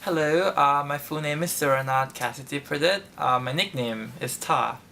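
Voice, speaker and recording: A woman's voice: deep voice, moderate pace, vocal fry, a bit bright, a faint crowd, slight background noise. A young woman from Thailand and Canada answering interview questions casually. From Bangkok, Thailand and Toronto, Canada.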